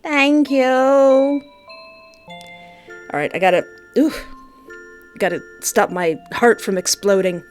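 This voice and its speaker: A woman giving excitable, casual commentary as she plays a visual novel, stammering when excited, with game music behind her. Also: on the high side, slow pace, pauses often.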